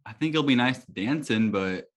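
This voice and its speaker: A man's voice: mid-range voice, expressive, moderate pace, lightly acted. A man playing a polite, hesitant young rookie superhero in an amateur table read, speaking casually. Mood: unsure.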